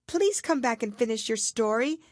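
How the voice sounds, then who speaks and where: Woman playing a polite, high-voiced little girl. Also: expressive, normal speed, no room sound, studio quality, close to an everyday voice. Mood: humble, pleading. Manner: earnest, eager to please. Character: polite, curious, eager young girl.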